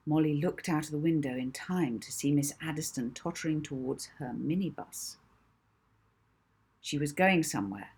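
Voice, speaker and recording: A woman author reading her own children's book as expressive audiobook narration. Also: fairly low pitch, husky.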